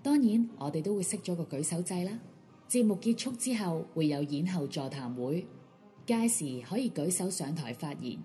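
A woman's voice: fairly low pitch, expressive, moderate pace, dark tone. A woman with a Hong Kong Cantonese accent reading a scripted pre-show announcement. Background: background music.

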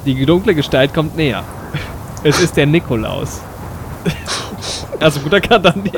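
A man's voice: fairly high pitch, lively intonation, a little slow, background noise. A man narrating a half-scripted, improvised audio play as game master, slipping between storytelling and playful role-play. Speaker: narrator and game master, also playing an adventurer.

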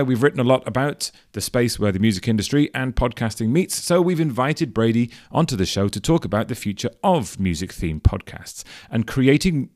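A man hosting a podcast, reading a scripted introduction and putting questions to a guest with wry, dry humour. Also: medium pitch. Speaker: podcast host and editor.

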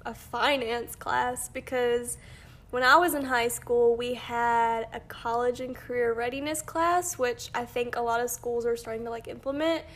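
A woman's voice: on the high side, fairly even intonation, unhurried, a bit bright. A young woman in college co-hosting a faith podcast, chatting casually with a stuffy, nasal voice and frequent giggles. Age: young adult. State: nasal, congested from sinuses. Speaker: podcast co-host.

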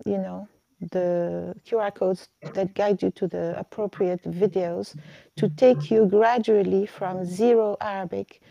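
Gravelly-voiced woman host. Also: medium pitch, mellow, no room sound, dull audio. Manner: friendly, patient, helps with words. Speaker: host, Arabic teacher. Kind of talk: casual live chat.

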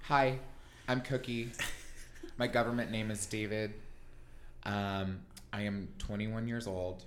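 A man's voice: lowish voice, little pitch movement, slow, a little hiss or hum. A man in his thirties, a podcast guest, talking in a joking, playful way with theatrical asides. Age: 30s. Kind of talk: casual podcast chat. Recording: echoey room.

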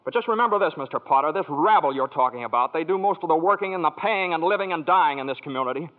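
A man's voice: fairly high pitch, animated, fast talker, lightly acted. Man playing an earnest, stammering small-town young dreamer. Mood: indignant, passionate. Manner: stammering, talkative, folksy. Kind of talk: 1940s radio drama acting. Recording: tinny, narrow vintage radio recording.

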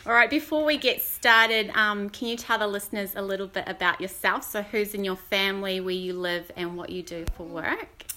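A woman's voice: mid-range voice, moderate pace, few pauses, pushed and bright. A woman hosting a podcast, asking questions and encouraging her guest with frequent "wow" and "beautiful" reactions. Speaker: podcast host. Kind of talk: casual interview chat.

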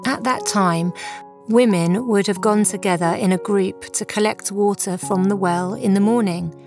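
A woman with a mid-pitched voice presents a scripted devotional. She speaks in a measured, reverent, earnest way, with music clearly playing behind her. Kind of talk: scripted devotional commentary and prayer.

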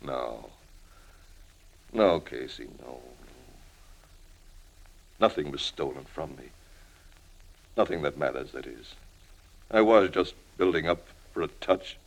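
A washed-up old newspaperman who drinks too much, in an old radio play. His voice is low, and he rambles haltingly, wheedling and pleading with wounded pride and self-pity. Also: lively intonation, creaky, full character voice, rough recording. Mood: defeated, ashamed. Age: older. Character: washed-up alcoholic old crime reporter, self-pitying, wheedling, proud. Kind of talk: 1950s radio drama acting.

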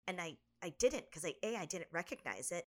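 A woman's voice: fairly low pitch, lively intonation, a little fast, a bit bright. A young woman co-hosting a podcast, telling a personal story in an earnest, reflective way. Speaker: podcast co-host. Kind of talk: casual podcast chat.

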